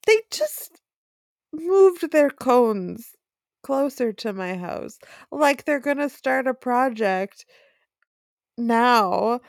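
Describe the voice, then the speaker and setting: A woman's voice: a little high, expressive, very slow, gravelly, no echo, studio quality. A woman with a Wisconsin accent co-hosting a casual podcast, giggly and throwing in playful remarks. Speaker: podcast co-host. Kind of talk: casual chat.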